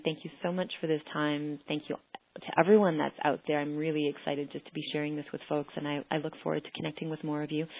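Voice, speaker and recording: Woman, low pitch, earnest informal presenter, rephrases often, dry phone-like online call audio. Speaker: panelist presenting.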